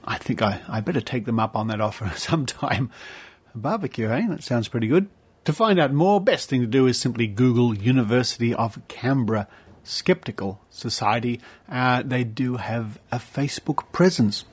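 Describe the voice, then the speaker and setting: A man's voice: mid-range voice, animated, moderate pace, slightly rough. A man with an Australian accent hosting a podcast, giving informal introductions and announcements in a chatty, playful manner with asides. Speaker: podcast host.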